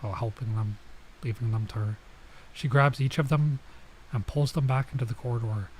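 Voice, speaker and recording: A man's voice: medium pitch, fairly even intonation, moderate pace, darkish tone. Male let's player, middle register, casual read-aloud with loose commentary and stumbles, noisy recording. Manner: casual, reacts with asides and stumbles over lines. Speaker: streamer playing and reading a visual novel. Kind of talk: let's play, reading game text aloud with casual commentary.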